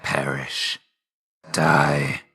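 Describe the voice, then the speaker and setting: A man playing a grim, aloof god of death in a video game, terse and deadpan, stern yet grudgingly loyal. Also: rumbling low, drawn-out words, creaky, husky, heavily acted. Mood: terse, dismissive. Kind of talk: scripted game character lines.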